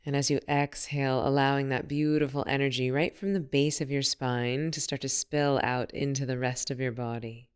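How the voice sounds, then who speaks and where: A woman leading a guided meditation as a teacher, speaking in a soothing, unhurried and gently instructive manner. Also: extremely low pitch, level tone, clear, resonant tone.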